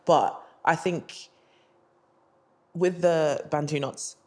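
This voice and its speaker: A woman's voice: low voice, steady tone, very slow, darkish tone. Candid young woman, a panel guest. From Britain. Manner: candid, quotes other people in a raised voice, laughs easily. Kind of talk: casual panel discussion. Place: bright studio set.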